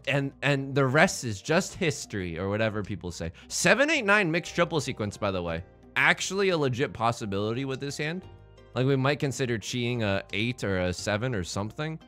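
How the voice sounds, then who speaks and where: A man from China hosting a let's play, riffing through his game with running jokes and internet slang. His voice sits at an ordinary middle pitch but swings widely as he talks. Quiet game music plays underneath. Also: clean tone. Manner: jokey, rambling, playful internet slang. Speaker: gaming channel host.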